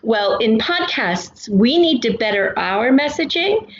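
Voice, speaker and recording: A woman chats casually as an interview guest, her voice at an average pitch. The recording is echoey and somewhat dull, with faint noise behind her. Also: expressive, a little slow. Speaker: guest writer and podcast creator.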